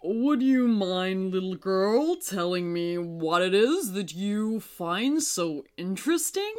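A woman voicing a kindly old male moon in a children's story, polite and gently musing. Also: medium pitch, animated, slow pace. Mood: curious, puzzled.

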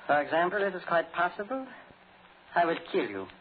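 Radio actor, muffled vintage sound. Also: male voice, high-pitched, big pitch swings, fairly quick. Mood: suave, menacing. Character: exasperated radio actor rehearsing a suave, menacing villain. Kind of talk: 1940s radio drama acting. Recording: muffled, noisy 1940s radio recording.

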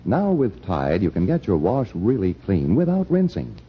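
A male announcer gives an emphatic old-time radio sales pitch. His voice is lowish and very husky, swinging widely for emphasis. The recording is dull and muffled. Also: wildly swooping pitch, moderate pace. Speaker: commercial announcer. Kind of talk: vintage radio advertising pitch.

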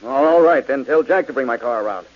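A man's voice: medium pitch, a little fast, lightly acted. An actor playing an imperious wealthy man giving orders in an old radio drama. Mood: blustering. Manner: blustering, imperious. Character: smug wealthy man losing his grip. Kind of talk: 1940s radio acting. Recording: dull old radio broadcast with hiss.

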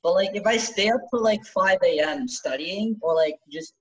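A man's voice: high voice, moderate pace, rough recording. A young man from Canada chatting on a casual podcast, rambling and defensive with lots of fillers. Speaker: podcast co-host.

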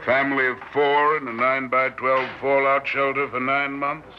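An actor playing a grave, humane, authoritative doctor in a 1960s radio drama, speaking deliberately and with emphasis. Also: male voice, medium pitch, little pitch movement, lightly acted. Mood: grave, reflective. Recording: muffled, noisy old radio recording.

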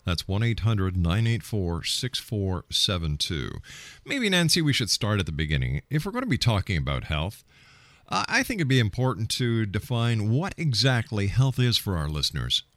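A man's voice: on the low side, huge pitch swings, normal speed, a bit bright, no room sound. A man hosting a radio show, interviewing and announcing to listeners.

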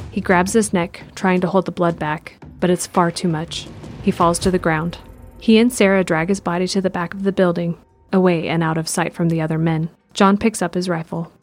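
A woman narrating a scripted audio drama in a measured, descriptive way. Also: lowish voice, a little mellow.

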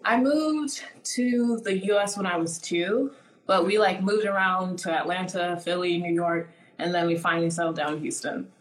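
A woman's voice: on the low side, normal speed, roomy sound, low-quality recording. A woman in her twenties, born in Nigeria and raised in the US, talking casually as an interview guest, with lots of "like" fillers. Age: 20s. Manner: chatty, many "like" fillers.

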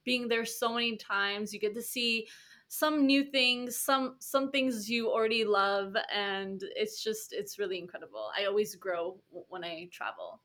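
Bright, bubbly young woman guest. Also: mid-range voice, measured pace. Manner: bubbly, laughs often. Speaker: podcast guest. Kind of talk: casual interview.

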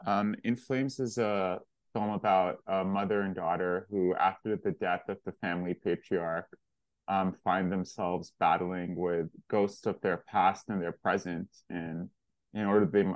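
A man's voice: low voice, steady tone, unhurried, no echo, low-quality recording. A man from Pakistan, a film director, answering interview questions in a reflective way with frequent fillers and restarts.

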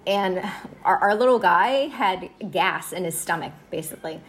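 A woman in her forties, a high school science teacher, telling her story in a casual interview with many fillers and easy laughs. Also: expressive, moderate pace. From United States. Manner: chatty, many fillers and "okay"s, laughs easily.

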